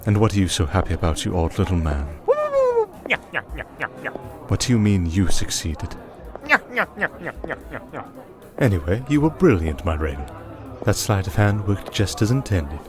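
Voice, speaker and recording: A man playing a scheming, refined vampire archduke in an ASMR audio drama, speaking in a measured, formal, condescending way. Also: deep voice, expressive. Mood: pleased, teasing. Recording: music bed under the voice.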